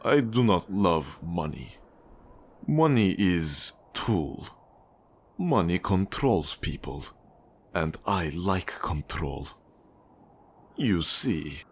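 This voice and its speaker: An actor playing a cold, menacing Russian mafia boss in an ASMR roleplay, with a Russian accent and a deliberate, condescending manner. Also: male voice, low voice, breathy edge, full character voice. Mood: intense, controlling. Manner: slow, deliberate, controlling, condescending. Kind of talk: ASMR roleplay monologue addressed to the listener.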